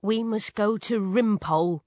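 A woman's voice: mid-range voice, a little slow, no room sound, pitched above the performer's own voice, natural voice. A woman voicing a protective, worried farmer father with an urgent manner in a storybook. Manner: loud, urgent, pleading. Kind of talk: children's storybook character voice.

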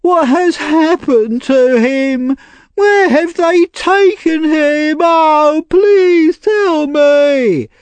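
A man voicing a young woman who pretends to grieve in drawn-out, mock-tearful wails. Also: very high-pitched, no echo, pitched above the performer's own voice, heavily acted. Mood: mock weeping. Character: young woman putting on theatrical false grief.

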